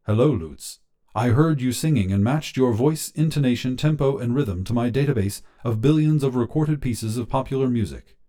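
A male digital talent scout from a short story , acted by a man, pleasant and pushy. He sells with excitement, swinging pitch and a mellow tone. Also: moderate pace, close to an everyday voice. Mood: excited, persuasive. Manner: excited, salesy, smooth-talking.

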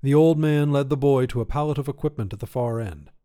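A man's voice: medium pitch, quick pace, warm tone. A man narrating a scripted short story as its reader.

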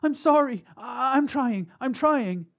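A man's voice: very high-pitched, animated, takes it slowly, a bit bright, voice pushed up, heavily acted. A man voicing a terrified young mother in an audio story, crying out frantically and pleading. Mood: tearful apology.

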